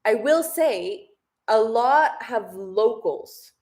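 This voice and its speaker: A woman's voice: on the high side, lively intonation, extremely slow, a little mellow. Chatty young woman in an echoey room. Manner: chatty, reads out viewer questions. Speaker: travel vlogger, co-host. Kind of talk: casual live hangout chat.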